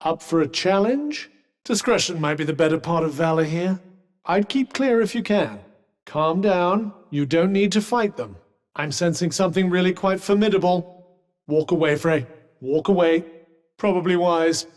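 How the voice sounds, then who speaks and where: A British actor voicing a witty, haughty magical cuff in a video game, speaking in a dry, wordy, formal way. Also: male voice, high-pitched, moderate pace, big-room sound, lightly acted. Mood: cautious, wry.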